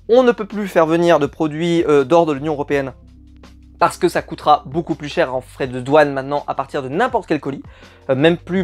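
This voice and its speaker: A man chatting to camera as a collectibles vlog host, enthusiastic and rambling, with many hesitant fillers. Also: on the high side. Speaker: YouTube collector channel host. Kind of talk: casual unboxing chat to camera.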